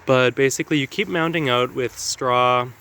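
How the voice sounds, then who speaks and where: A man with a slightly raspy voice from allergies, talking casually and explaining things with lots of fillers as he walks through his garden. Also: mid-range voice, moderate pace, bright, forceful tone. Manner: chatty, explanatory, with frequent fillers. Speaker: gardening vlogger. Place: outdoor garden.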